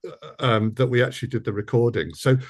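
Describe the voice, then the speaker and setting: A man's voice: mid-range voice, fairly quick. A man interviewing casually as a producer, with a hesitant, jokey way of talking. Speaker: interviewer, audio drama producer.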